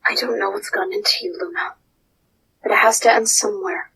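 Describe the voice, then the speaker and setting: A woman's voice: fairly high pitch, creaky, a bit bright, a little hiss or hum, full character voice, low-quality recording. A woman voicing royal pony princess sisters in a fan story, with formal, dramatic delivery. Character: two royal pony princess sisters, one scornful, one earnest.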